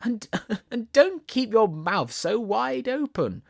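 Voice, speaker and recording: A man voicing a bright, curious, giggly little girl from a storybook, chatty and excitable. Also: sits high, slow, vocal fry, no echo, clean studio sound, voice pushed up, full character voice. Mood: amused, giggly.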